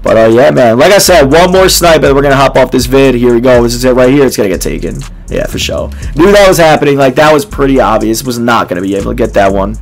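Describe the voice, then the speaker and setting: A young man in his twenties with a hoarse, nearly gone voice, casually commenting on a game to his viewers in a small dark room. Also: mid-range voice, lively intonation, fast, few pauses, faint music behind. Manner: laid-back, filler-heavy, addresses viewers as boys. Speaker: gaming YouTuber.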